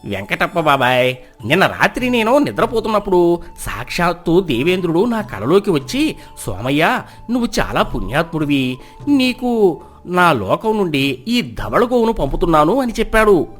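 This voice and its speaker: Guy as a sly lazy village conman, boastful, highish lively voice, storybook with music. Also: normal speed, full character voice. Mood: persuasive, pious. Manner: boastful, persuasive. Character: cunning, lazy young village trickster, smooth-talking.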